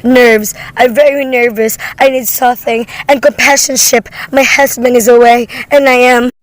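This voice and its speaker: A woman's voice: fairly high pitch, fairly even intonation, normal speed, dry recording, lightly acted. A woman playing a nervous, demanding invalid wife alone at home in a radio play, with an imperious, fretful manner. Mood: anxious, indignant.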